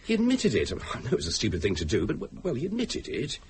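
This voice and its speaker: An actor playing a protective, patronizing British husband in a radio drama, coaxing yet quick to anger. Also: male voice, medium pitch, wildly swooping pitch, a little hiss or hum. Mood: soothing, firm. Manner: coaxing endearments, brisk commands, emphatic.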